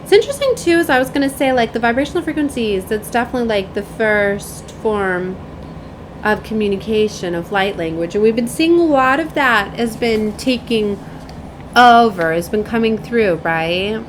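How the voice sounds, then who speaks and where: A woman's voice: fairly high pitch, animated, normal speed, noisy background. A woman doing a spiritual oracle card reading, moving between reading aloud and rambling, informal asides. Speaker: tarot and oracle card reader. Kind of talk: spiritual card reading, part read aloud, part casual chat.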